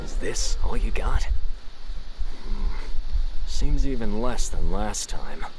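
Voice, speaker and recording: Low-voiced grumbling customer. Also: male voice, animated, slow pace, music in the background, rough recording. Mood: dissatisfied. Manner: grumbling, unimpressed. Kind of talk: video game voice acting.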